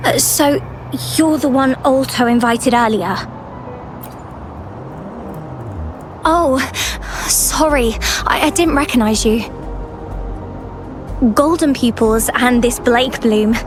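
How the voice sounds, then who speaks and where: A woman voicing a polite, conscientious young field researcher in a video game, earnest and slightly hesitant. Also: fairly high pitch. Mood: surprised, apologetic. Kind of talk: video game character dialogue.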